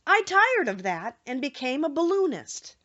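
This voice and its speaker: A woman's voice: high voice, sing-song, moderate pace, pushed and bright, dull audio, pitched above the performer's own voice, exaggerated voice. A woman voicing a little old humbug wizard, sorrowful and confessing, in earnest audiobook storytelling. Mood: explaining. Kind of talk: audiobook character voice.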